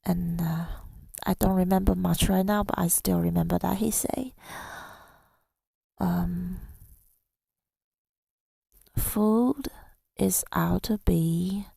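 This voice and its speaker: A woman speaking softly and intimately in a husky, breathy ASMR style, hesitant and affectionate, often slipping into a whisper, close to the microphone. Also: lowish voice, steady tone, slow, long pauses, no echo. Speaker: ASMR audio creator. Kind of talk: intimate ASMR girlfriend roleplay, telling about the day. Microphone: close microphone, dry sound.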